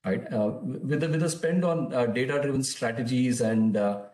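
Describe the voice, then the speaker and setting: A man moderating a webinar over a video call, hesitant and filling pauses with "uh". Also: mid-range voice, a little mellow. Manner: hesitant, many "uh" fillers. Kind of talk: webinar introduction. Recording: echoey room over a call.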